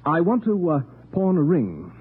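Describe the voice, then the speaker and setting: A man's voice: a little high, wildly swooping pitch, measured pace, slightly rough, exaggerated voice. An actor playing a deposed male king on the run, who also narrates, dry and composed in an old radio drama. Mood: nervous. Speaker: narrator and lead. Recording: tinny old radio broadcast with music.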